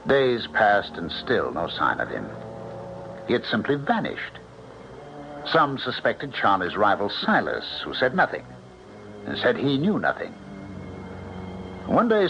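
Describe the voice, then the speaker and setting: A concerned small-town doctor with a lowish voice and lively swings of pitch. He narrates and questions in a measured way on a dull seventies radio drama recording, with music behind. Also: vocal fry, heavily acted. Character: concerned small-town doctor telling a mystery, calm and probing. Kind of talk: dramatic first-person narration and dialogue, 1970s radio.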